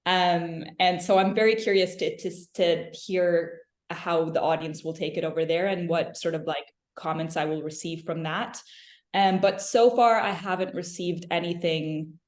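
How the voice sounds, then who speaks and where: Low-voiced woman in an echoey room. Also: fairly even intonation, moderate pace, darkish tone. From Lebanon. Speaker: film director being interviewed. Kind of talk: conversational interview answers. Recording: roomy, echoing interview sound.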